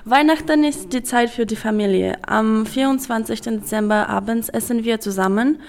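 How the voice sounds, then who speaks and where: A young woman Erasmus student who grew up in Romania, giving careful, somewhat halting interview answers in a second language. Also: fairly high pitch, creaky, a little mellow.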